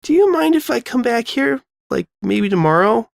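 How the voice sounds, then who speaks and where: A man's voice: extremely high pitch, sing-song, moderate pace, creaky, no room sound, studio quality, voice pushed up, full character voice. A man voicing a shy, awkward young woman with a hesitant, bashful way of talking in a story reading.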